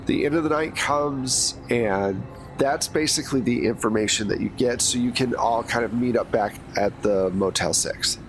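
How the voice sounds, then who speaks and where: A man in a mid-range voice runs a tabletop horror game as its game master. He talks in a relaxed, descriptive way, laying out what the players find and taking their questions. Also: mid-range voice, normal speed, few pauses, a little hiss or hum.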